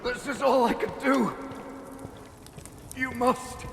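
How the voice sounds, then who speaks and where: An actor voicing a dying man's ghostly echo in a video game, spent and desperate, strained and creaky in heavy reverb. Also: extremely high pitch, steady tone, takes it slowly, a bit bright, exaggerated voice. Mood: desperate resignation. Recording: echoing reverb, faint ambient noise.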